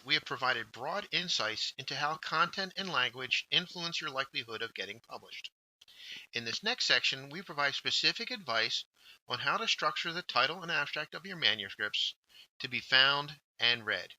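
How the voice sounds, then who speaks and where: A man presenting a scripted instructional workshop, with deliberate, emphatic phrasing. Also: a little high, bright, forceful tone, dry recording, low-quality recording. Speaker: workshop presenter, journal editor.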